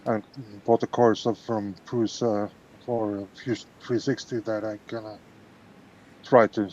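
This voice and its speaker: A man's voice: on the low side, flat delivery, measured pace, warm tone, a little hiss or hum. Norwegian guy joking on a podcast, muffled lo-fi mic. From Norway. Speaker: guest. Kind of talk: casual chat. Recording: muffled lo-fi call audio.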